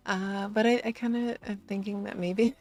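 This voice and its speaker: A tired woman with a slightly raspy low voice, chatting in a sleepy, giggly, rambling way as a streamer on a late-night stream. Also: fairly even intonation, moderate pace, darkish tone. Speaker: VTuber streamer.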